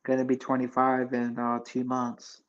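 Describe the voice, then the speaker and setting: A man's voice: medium pitch, monotone, moderate pace, lo-fi sound. A man in his twenties chatting casually with his live viewers, rambling loosely with lots of fillers and restarts. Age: 20s. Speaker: livestream host chatting with viewers.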